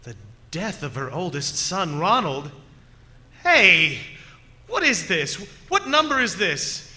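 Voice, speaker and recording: Haunted, sharp-voiced desperate traveler. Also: male voice, high voice, big pitch swings, slow pace, in a large hall, heavily acted. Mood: shocked, frantic. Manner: intense, theatrical, brooding. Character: desperate haunted male traveler, son telling his eerie story. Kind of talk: old-time radio drama acting.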